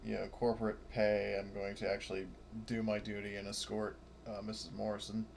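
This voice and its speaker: A man's voice: on the low side, completely flat delivery, brisk, creaky. A man playing a tabletop roleplaying game and talking casually about his character's moves. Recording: very noisy mic with clicks.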